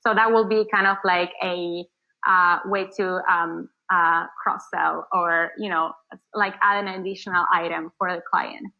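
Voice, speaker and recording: A woman's voice: lowish voice, steady tone, unhurried, lo-fi sound. Argentine woman rambling with fillers. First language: Spanish. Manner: rambling, many fillers and restarts. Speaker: marketing agency founder. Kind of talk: casual interview answers.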